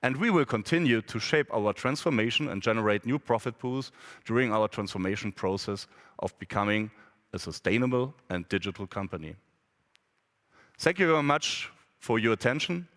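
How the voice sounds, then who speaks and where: A man giving a measured, formal prepared speech as a board member at a press conference. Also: fairly low pitch, animated, a bit bright. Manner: measured, formal delivery with occasional restarts. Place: conference hall. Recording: long hall reverb.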